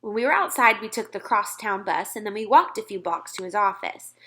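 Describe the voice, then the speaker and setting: A woman reading a children's story aloud with expressive narration. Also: mid-range voice, brisk.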